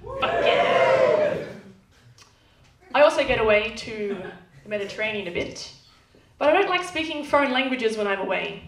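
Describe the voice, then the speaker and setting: Young Australian woman comic, high nasal voice, brash and sweary, taped from afar in an echoey, noisy club. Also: sing-song, moderate pace, pauses often, far from the mic. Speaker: stand-up comedian. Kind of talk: stand-up comedy set. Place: comedy club stage.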